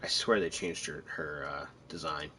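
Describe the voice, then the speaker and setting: A man's voice: a bass voice, steady tone, normal speed, pushed and bright. A man giving casual, chatty gameplay commentary, drifting into rambling asides. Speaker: video game player commentator.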